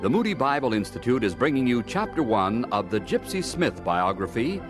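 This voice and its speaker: A male announcer introduces a radio programme with stately formality. His mid-pitched voice moves dramatically up and down over prominent organ music. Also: big pitch swings, normal speed.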